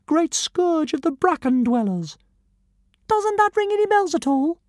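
A man voicing a tiny, arrogant male dragon who speaks grandly and insults everyone, in a squeaky, haughty manner. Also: very animated, measured pace, darkish tone, no echo, studio quality, exaggerated voice. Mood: grandiose. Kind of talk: audiobook character voice.

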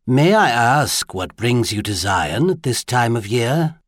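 Man voicing a poised, gracious courtesan, soft-spoken. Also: mid-range voice, big pitch swings, unhurried, no room sound, studio quality. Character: gracious, charming, poised woman courtesan, no longer young. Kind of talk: audiobook character dialogue.

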